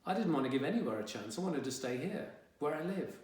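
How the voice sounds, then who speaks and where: A man's voice: on the high side, big pitch swings, fast talker, a little mellow, recorded from a distance. Echoey man as a sullen teenage boy narrator. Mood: defiant. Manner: wry, dramatic, exasperated teenage grumbling. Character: sullen, indignant fifteen-year-old boy telling his story. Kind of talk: first-person young adult audiobook reading. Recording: very echoey, reverberant room.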